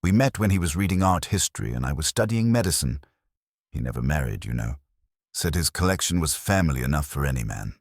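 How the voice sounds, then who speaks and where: A man narrating an audiobook voices a grieving older male doctor who speaks in a steady, measured way. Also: extremely low pitch, gravelly, no echo, clean studio sound, full character voice. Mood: sorrowful.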